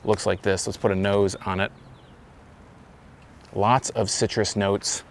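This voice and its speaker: A man with a fairly low voice and a gravelly, fry-laden edge talks through a beer review outdoors. He rambles easily and keeps restarting his thoughts. A faint hiss of wind sits behind him. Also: little pitch movement, slow. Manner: rambling, self-correcting, loose. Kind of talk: casual beer review vlog. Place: outdoors, windy. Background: wind noise.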